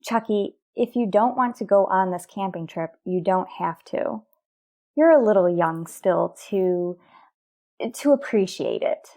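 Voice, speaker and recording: A woman playing a worried but composed mother and wife in an old-fashioned radio soap, with proper, deliberate phrasing. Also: medium pitch, mellow, muffled recording, lightly acted. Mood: gently coaxing.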